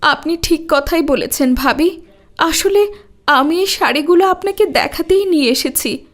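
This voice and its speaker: Woman playing vain cartoon housewife, envious, very high-pitched voice. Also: measured pace. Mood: remorseful. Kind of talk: Bangla cartoon dubbing.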